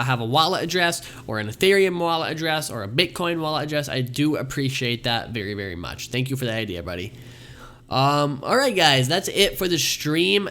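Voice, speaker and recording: A man's voice: on the high side, pushed and bright. A young man hosting a casual live stream, rambling and thanking viewers often while his voice wears out. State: losing his voice. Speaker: livestream host.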